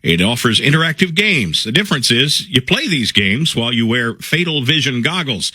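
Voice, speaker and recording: Male radio news anchor, bright husky tone, dry close sound, steady read. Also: medium pitch, moderate pace, no room sound. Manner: steady, crisp announcer delivery. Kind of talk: news reading.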